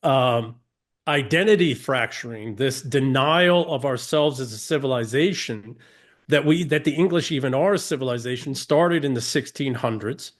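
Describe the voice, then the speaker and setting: A man's voice: medium pitch, normal speed. A man from the United States, a guest on an interview podcast, speaking emphatically, asking rhetorical questions and often restarting sentences.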